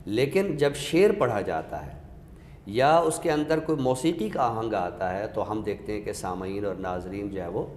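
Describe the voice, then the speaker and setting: A man with a Pakistani accent giving a deliberate, didactic explanation in a literary discussion, pausing for emphasis. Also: fairly low pitch, lively intonation, darkish tone. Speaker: literary scholar and guest speaker. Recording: echoey reverberant room with background noise.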